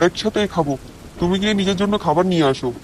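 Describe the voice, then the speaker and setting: A man's voice: sits high, very fast. A man playing a blunt, short-tempered young shopkeeper husband in a cartoon. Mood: calm. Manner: scolding, blunt. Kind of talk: cartoon dubbing.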